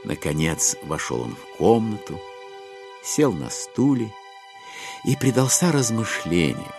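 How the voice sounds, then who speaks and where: A man narrating a literary audio drama in an expressive storytelling manner. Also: deep voice, full character voice.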